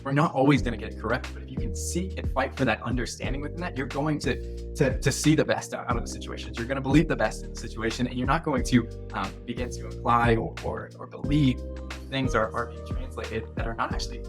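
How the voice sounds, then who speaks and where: A man's voice: mid-range voice, fast talker, over music. A young man talking casually as a podcast guest, often restarting his phrases as he rambles along.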